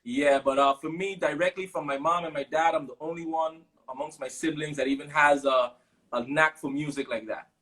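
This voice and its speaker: A man in his thirties with a Caribbean English accent from Sint Maarten and a fairly high voice. He talks in a loose, relaxed way, telling stories with lots of fillers as an interview guest. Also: a little fast, poor audio. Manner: relaxed storytelling with many fillers. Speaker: guest musician. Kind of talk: casual interview chat.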